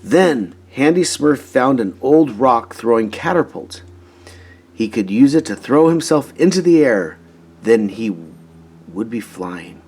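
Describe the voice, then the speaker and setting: A man reading a children's storybook aloud in an expressive, emphatic way. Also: mid-range voice, a little slow, slightly rough. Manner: animated, emphatic, dramatizing story moments. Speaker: storybook reader.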